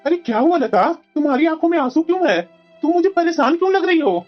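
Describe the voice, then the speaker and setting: A woman voicing a consoling, protective old husband, high-pitched. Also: expressive, quick pace, muffled recording, soft background music. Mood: concerned. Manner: earnest, consoling. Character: protective, reassuring elderly husband, a pensioner. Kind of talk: Hindi family drama story dialogue.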